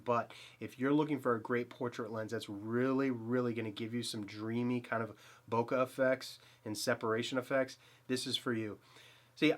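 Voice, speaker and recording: A man's voice: mid-range voice, a bit bright. A man in his thirties with a Boston accent, talking casually and eagerly to camera about a portrait lens in a small dim home studio, rambling with fillers and restarts. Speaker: photography channel host. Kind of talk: casual gear review to camera.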